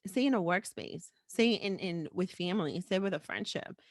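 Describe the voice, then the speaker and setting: A woman talks candidly on a podcast in an informal, conversational voice at a middle pitch. She repeats phrases for emphasis and speaks off the cuff. The recording is dry, with very little room sound. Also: normal speed, dry recording. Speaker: podcast host.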